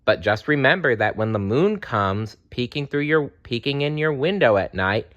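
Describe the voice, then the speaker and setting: A man voicing a friendly, gentle male storybook bear who talks in a folksy, reassuring way. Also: medium pitch, lively intonation, normal speed, highs cut off, voice pushed up, close to an everyday voice.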